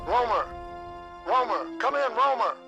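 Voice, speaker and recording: A man's voice: extremely high pitch, sing-song, a little slow, highs cut off, music in the background, full character voice. An actor playing a wordy, fatherly resistance leader in a video game, with florid, folksy old-fashioned phrasing. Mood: urgent. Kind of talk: video game cutscene acting.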